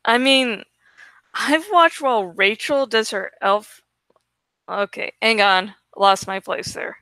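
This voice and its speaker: A woman's voice: medium pitch, slow pace, sharp tone, smooth, dry recording. A woman reading a first-person story aloud expressively, drawing out words for dramatic emphasis. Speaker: amateur audiobook reader.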